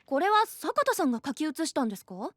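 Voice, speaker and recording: A woman's voice: high voice, big pitch swings, quick pace, a bit bright, dry recording. A woman playing a frank, easily exasperated teenage heroine in an anime audio drama, with a casual, mildly sarcastic delivery. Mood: curious. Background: faint background music.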